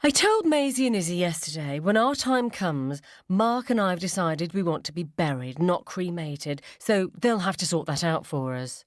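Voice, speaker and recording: A woman's voice: fairly low pitch, animated, normal speed, hardly any pauses, no echo, studio quality, lightly acted. Woman acting a patronising, self-important mum. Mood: earnest, self-satisfied. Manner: earnest, patronising, over-explaining. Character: self-important, tedious mother lecturing fellow mums over dinner.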